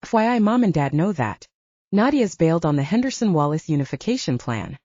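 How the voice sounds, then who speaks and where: A woman's voice: fairly low pitch, sing-song, a little fast, warm tone, dry recording, muffled recording. A woman narrator voicing a cheeky, blunt younger male werewolf in an audiobook. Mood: blunt, teasing.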